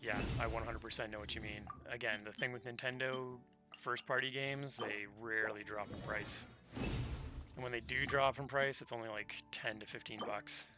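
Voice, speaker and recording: An easygoing man chats casually as he streams a game. His voice is mid-pitched and conversational, with game music clearly heard behind him. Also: steady tone, normal speed. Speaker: game livestreamer. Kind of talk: casual chat while gaming.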